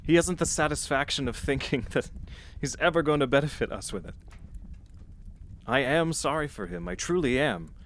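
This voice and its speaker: An actor playing a cheerful, warm-hearted nephew in an audio drama, speaking in a jovial, earnest manner. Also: male voice, fairly high pitch, normal speed, a little hiss or hum, close to an everyday voice. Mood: good-humored.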